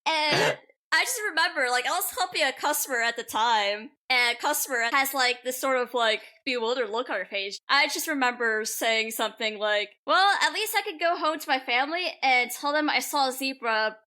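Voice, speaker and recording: A young woman with a fairly high, strikingly bright voice chats casually. She rambles through personal stories and slips into quoted dialogue as she retells them. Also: expressive, normal speed. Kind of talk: casual chat, telling personal anecdotes.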